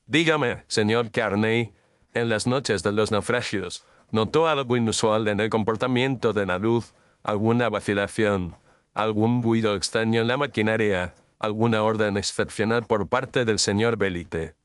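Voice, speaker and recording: A man playing a courteous, precise Victorian gentleman detective in an audio story, with formal, measured phrasing. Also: fairly low pitch, clean tone, dry recording, clean studio sound, lightly acted. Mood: probing, polite. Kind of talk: audio story character dialogue.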